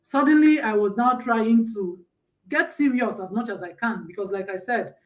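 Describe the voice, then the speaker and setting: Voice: mid-range voice, moderate pace, recorded from a distance, rough recording. A guest speaker from Nigeria with a Nigerian accent, telling personal stories in a conversational talk and often checking in with "right?".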